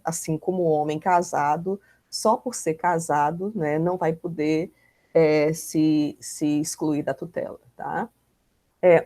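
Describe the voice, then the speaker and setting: A woman's voice: low-pitched, a little mellow, no echo. A Brazilian woman giving an informal law lecture, explaining in a didactic, conversational way and often checking in with short tag questions.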